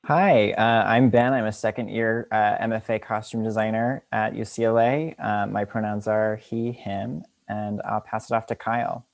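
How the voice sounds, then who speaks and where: A young man explaining his work on an online panel in a hesitant, measured way over a video call. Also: on the low side, fairly even intonation, creaky. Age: young adult. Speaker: student costume designer.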